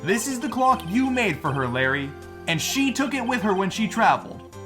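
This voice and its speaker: A man's voice: high voice, big pitch swings, moderate pace, pushed and bright, faint music behind, full character voice. A man voicing an earnest, awkward young male lawyer in a fan-dubbed game, hesitant and a little wry. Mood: persuading. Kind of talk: fan-dub video game dialogue and inner narration.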